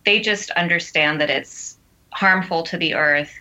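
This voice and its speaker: A middle-aged woman, a guest writer on a podcast, giving measured, earnest and articulate answers. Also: fairly low pitch, sharp tone, lo-fi sound. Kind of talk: considered interview answers.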